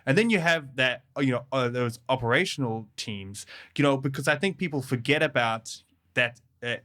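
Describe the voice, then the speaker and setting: Bright-voiced New man. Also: medium pitch, normal speed. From New Zealand. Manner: friendly, laughs easily, many fillers and restarts. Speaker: developer advocate guest. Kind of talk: casual podcast interview.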